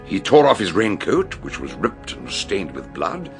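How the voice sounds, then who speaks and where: Older male detective in radio mystery, gravelly, genial but grave, swoopy deliberate explaining. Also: low-pitched, huge pitch swings, faint music behind, exaggerated voice. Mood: solemn explaining. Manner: hesitant openings, deliberate explanatory delivery. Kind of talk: radio mystery drama acting.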